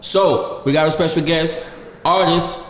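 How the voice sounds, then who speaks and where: A man co-hosting a podcast in casual chat, chiming in with quick agreement and introducing a guest. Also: fairly high pitch, steady tone, fairly bright tone, slight background noise. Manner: agreeable, chimes in with quick affirmations. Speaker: podcast co-host. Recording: echoey room with long reverb.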